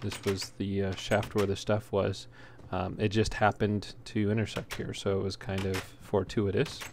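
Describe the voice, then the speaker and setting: A man's voice: fairly low pitch, flat delivery, moderate pace, a little mellow. A man hosting a gaming video with casual, unscripted walkthrough commentary, speaking a little hesitantly. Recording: noisy home recording, clear background noise.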